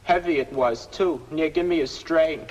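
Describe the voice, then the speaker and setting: An actor playing an argumentative working-class truck driver who talks in aggrieved, colloquial phrases in a 1950s film. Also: male voice, fairly high pitch, normal speed, darkish tone, highs cut off, natural voice. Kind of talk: 1950s film comic character acting. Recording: noisy, reverberant old film soundtrack.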